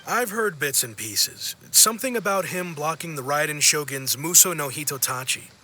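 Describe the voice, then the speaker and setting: An actor playing an easygoing, friendly young-sounding sailor in a video game, chatty and casual. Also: male voice, fairly high pitch, expressive, moderate pace, pushed and bright, exaggerated voice. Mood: impressed, casual. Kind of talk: video game cutscene acting.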